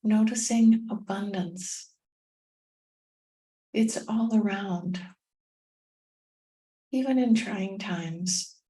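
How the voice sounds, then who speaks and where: A woman guides a meditation at a very unhurried pace. Her voice is soft-edged and relaxed, at a middling pitch. She speaks gently in short phrases and leaves long, soothing pauses between them. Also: low-quality recording. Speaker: meditation guide and tapping coach.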